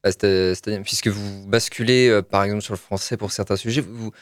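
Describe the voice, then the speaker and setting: Low-voiced radio host. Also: male voice, brisk, no room sound, studio quality. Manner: relaxed, frequent backchannel murmurs. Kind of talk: radio interview.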